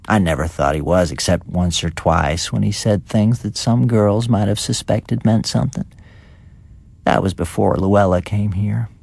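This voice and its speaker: A man's voice: low voice, vocal fry, darkish tone, no room sound, heavily acted. A man voicing an elderly, indignant village gossip with a folksy, rustic manner of speech in a dramatised story reading. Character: elderly unmarried village woman gossiping indignantly about neighbours.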